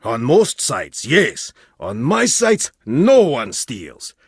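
A proud, distrustful archaeologist from an adventure game, blunt to the point of rudeness. His husky voice swings wildly up and down as he lectures, dry and close to the mic. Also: medium pitch, huge pitch swings, takes it slowly, dry recording, exaggerated voice. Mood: stern. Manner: blunt, haughty, dramatic swings in delivery. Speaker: game character voice. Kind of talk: video game voice lines.

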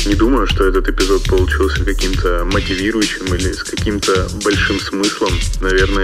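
Very low, flat-voiced man narrating. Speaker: vlogger narrating his channel. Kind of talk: reflective voice-over narration.

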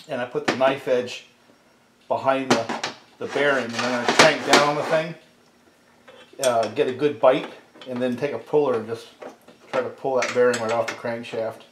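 A middle-aged man casually explaining repair steps at his workbench, in a relaxed, folksy way while handling tools. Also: mid-range voice, moderate pace, long pauses. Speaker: small engine repair channel host. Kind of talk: casual workshop demonstration. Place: cluttered home workshop at a workbench. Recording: echoey workshop with loud tool noise.

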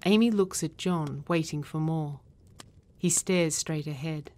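A woman reading scripted narration and stage directions in a measured, deliberate manner. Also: sits low, dark tone.

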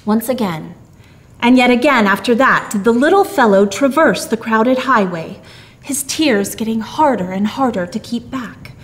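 A middle-aged woman narrates a storybook with theatrical flair. Her voice sits a little high and swoops widely in pitch, leaning on key words with drawn-out emphasis. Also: lively intonation. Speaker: storyteller reading a Christmas story aloud. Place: small dark theatre stage, indoors.

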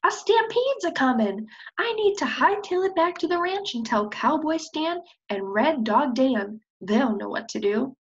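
Woman voicing a frantic high-pitched storybook prairie chicken. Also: animated, measured pace, few pauses, lo-fi sound. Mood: alarmed. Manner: urgent, exclaiming. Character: frantic female prairie chicken warning of a stampede.

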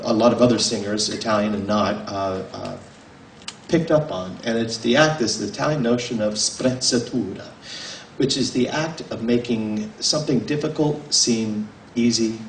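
A man in his forties, an author, giving a casual, halting talk with many fillers and restarts to a small audience in a library. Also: mid-range voice, slight background noise. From United States. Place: library room, indoors. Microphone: table mic on a stand, filmed from a distance. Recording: roomy, mic at a distance.

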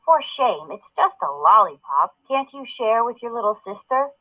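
A woman's voice: on the high side, very animated, normal speed, phone-quality sound. A woman voicing a stern, insistent mother who scolds her children in a storybook. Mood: scolding.

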